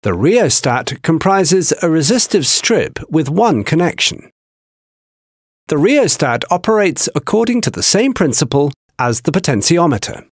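A man with a slightly husky voice reads a technical training script in a measured, explanatory way. His pitch moves a lot, rising and falling from phrase to phrase. The recording is dry and close, with no room sound. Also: sing-song, dry recording. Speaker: narrator of a training video.

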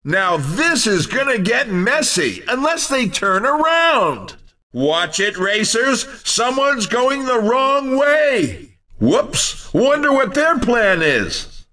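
A man's voice: high voice, pushed and bright, far from the mic. A man commentating on a video game race in a theatrical, jokey sports-announcer style. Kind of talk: excited cartoon racing game commentary.

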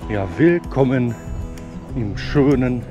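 A man's voice: medium pitch, lively intonation, takes it slowly, muffled recording, over music. A man, chatting casually as he walks through a city on a hiking vlog, talking frankly with fillers and regional slang. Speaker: vlogger, urban hiking guide. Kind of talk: casual walking vlog commentary. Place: outdoors on city streets and parks. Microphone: chest-mounted action camera.

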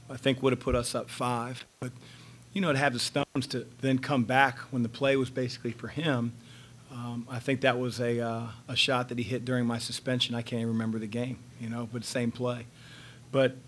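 A man in his fifties, a head coach answering questions at a post-game press conference, speaking in a measured, halting way with many fillers, into a table microphone in a press room. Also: medium pitch. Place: press room in front of a sponsor backdrop. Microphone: table microphone on a stand.

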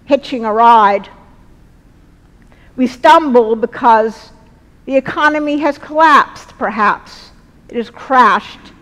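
A middle-aged woman preaching a sermon from a lectern in a large church, speaking earnestly in slow, deliberate phrases. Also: fairly high pitch, fairly bright tone. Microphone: lectern mic, far camera. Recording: long church reverb.

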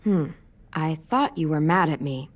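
A woman's voice: low-pitched, lively intonation, measured pace. A woman playing a tough, protective teenage girl in a dramatic video game, firm and serious like a young parent. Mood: softened.

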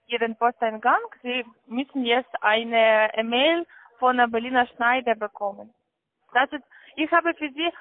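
A woman with a foreign accent in German, giving insistent, halting, repetitive step-by-step instructions as a call centre agent on a phone call. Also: sits high. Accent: foreign, non-native German. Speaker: call centre agent selling a trading account. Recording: tinny band-limited phone line.

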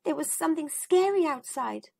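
A woman voicing an excitable little girl in a children's storybook, telling things earnestly in a childlike way. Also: sits high, normal speed, vocal fry, voice pushed up. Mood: eager.

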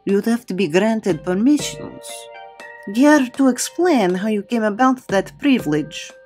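A woman playing a haughty, world-weary, scornful villainess in an audio drama, dismissive and deliberate. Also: mid-range voice, animated, mellow, faint music behind, lightly acted.

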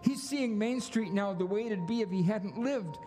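Older man playing a gentle, high-voiced old angel. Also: moderate pace, natural voice. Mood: concerned. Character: gentle, earnest old male guardian angel. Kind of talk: live radio play reading. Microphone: stand microphone on stage. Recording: reverberant school auditorium stage. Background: music.

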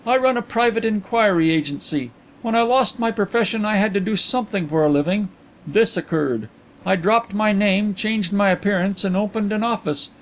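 A man voicing a brisk, frank gentleman private detective in an audiobook, emphatic and slightly pompous in conversation. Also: high-pitched, slight background noise, voice pushed up. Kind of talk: audiobook character dialogue.

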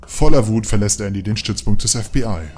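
A man's voice: fairly low pitch, brisk, a little mellow. A man narrating a German audio drama in a scripted storytelling style.

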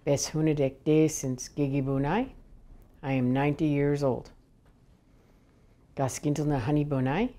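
Slow, low-voiced woman teaching a language. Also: mellow. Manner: deliberate, measured, repeating each phrase for learners. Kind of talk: slow, clear language lesson with repetition drills. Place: indoors, wood-panelled room.

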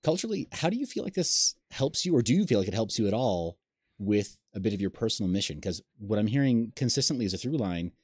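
Low-voiced host hedging his questions. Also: sing-song, fast talker, darkish tone, slightly rough, dry recording, clean studio sound. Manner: hesitant, restarts questions. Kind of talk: casual video call interview. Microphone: webcam on a video call.

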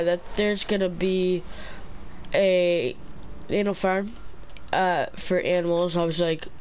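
A man's voice: sits high, slight background noise. A young man giving casual, rambling commentary while playing, groggy from an early morning and often correcting himself. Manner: rambling, self-correcting, relaxed. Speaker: gaming channel host. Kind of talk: casual let's play commentary.

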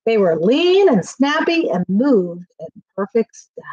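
Middle-aged female storyteller, slightly high voice, expressive children's book read-aloud. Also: slow pace, muffled recording. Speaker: school staff reading aloud. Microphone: webcam on a video call, near.

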